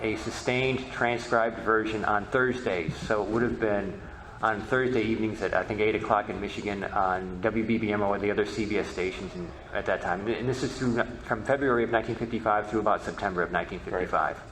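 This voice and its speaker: A man with a fairly low voice speaks in a roomy, echoing space with faint noise. He answers questions as a presenter, haltingly, often restarting with repeated words. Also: fairly even intonation, fairly bright tone. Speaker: panel presenter, old-time radio researcher. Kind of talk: answering audience questions at a convention talk. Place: echoey convention meeting room.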